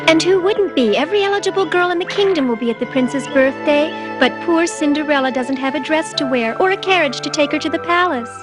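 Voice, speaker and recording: A woman's voice: sits high, fairly quick, hardly any pauses, over music, natural voice. A woman voicing a loyal, indignant little female animal in a fairy-tale cartoon, chatty and opinionated. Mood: sorry, indignant.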